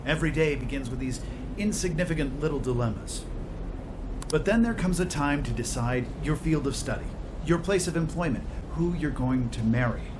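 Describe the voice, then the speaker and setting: A man playing a composed, confident tech company CEO who gives a clearly stated lecture in a video game. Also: a little high, expressive, normal speed, noisy background, lightly acted. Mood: composed, persuasive.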